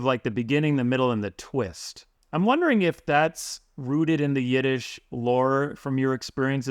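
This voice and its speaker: A man with a mid-range voice hosts an interview and builds his questions slowly over several clauses. The sound is dry and close. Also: medium pitch, dry recording. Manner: conversational, builds long questions. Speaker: podcast host.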